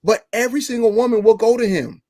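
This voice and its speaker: A man on a podcast panel debating dating, animated and argumentative. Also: very high-pitched, quick pace, dry recording, clean studio sound. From Atlanta area. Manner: animated, argumentative, laughs mid-point.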